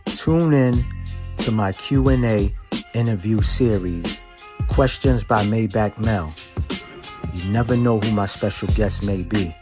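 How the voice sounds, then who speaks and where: A man's voice: lowish voice, measured pace. A man delivering a hyped, salesy promo spot, heard from a distance over music. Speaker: promo announcer.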